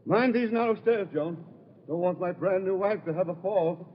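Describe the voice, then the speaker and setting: A nervous, guilty swindler of a bridegroom played by a man, pitched a little high. He blusters and frets with full vintage radio horror melodrama. The old recording is somewhat dull, with light noise behind the voice. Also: moderate pace, lightly acted. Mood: forced cheer.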